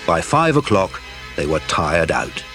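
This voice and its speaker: A man's voice: low voice, sing-song, unhurried, fairly bright tone, soft background music. A man reading scripted story narration for an audiobook.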